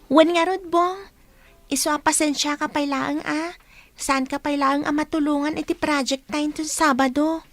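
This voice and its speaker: A woman's voice: high voice, steady tone, moderate pace, no echo. A woman acting a polite, dutiful young student daughter in an audio drama. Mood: apologetic.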